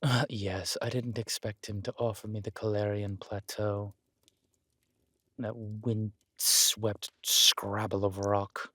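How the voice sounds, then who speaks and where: A man playing a scheming, aristocratic villain husband in an intimate roleplay audio drama, suave and deliberate, slipping into breathy near-whispers. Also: lowish voice, little pitch movement, sharp tone, no room sound, clean studio sound, exaggerated voice. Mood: smug, musing. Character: smooth, scheming villain husband, usurper of a kingdom.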